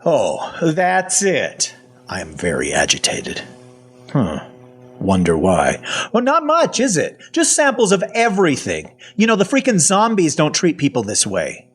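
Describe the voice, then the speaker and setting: Man acting a husky, sardonic half-zombie survivor. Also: lowish voice, sing-song, normal speed. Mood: agitated sarcasm. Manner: folksy, colloquial, wry. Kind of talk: first-person audio fiction narration with acted dialogue.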